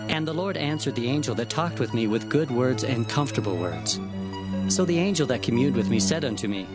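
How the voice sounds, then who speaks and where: An actor playing an earnest prophet, recounting visions and warnings in a fervent, declamatory dramatized scripture narration. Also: male voice, mid-range voice, animated, a little fast, over loud music, close to an everyday voice. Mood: awed.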